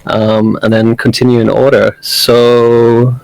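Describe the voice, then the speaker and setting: Gravelly upbeat man hosting a stream. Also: mid-range voice, level tone, takes it slowly, a little mellow, low-quality recording. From South Africa. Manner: upbeat, casual, filler-heavy. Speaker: streamer, panel host. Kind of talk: livestream panel hosting.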